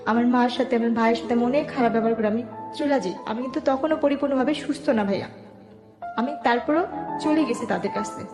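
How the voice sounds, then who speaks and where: A woman's voice: fairly high pitch, fairly quick. A woman with a Bangladeshi accent giving personal testimony in colloquial, conversational speech, narrating step by step and quoting what people said. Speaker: interview guest telling her life story. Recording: echoey room with music underneath. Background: background music.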